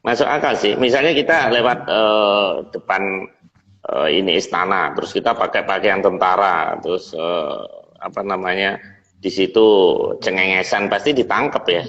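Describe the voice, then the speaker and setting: A man from Indonesia with a fairly low voice hosts a casual chat. He talks informally, often repeating short phrases. The recording sounds dull and lo-fi. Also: normal speed, a bit bright. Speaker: host. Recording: dull lo-fi audio.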